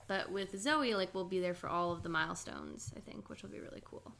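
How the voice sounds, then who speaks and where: A woman's voice: on the low side, fairly quick, creaky, a bit bright. A young woman co-hosting a casual chat podcast with her sister, talking loosely and laughing often. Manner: chatty, laughs often, lots of "like". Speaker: podcast co-host.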